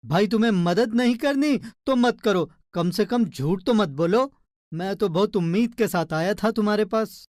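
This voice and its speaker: An actor playing a polite, needy labourer in a cartoon story, flattering and pleading with his friend. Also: medium pitch, moderate pace, no room sound, studio quality, close to an everyday voice. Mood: hurt. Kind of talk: children's cartoon story dialogue.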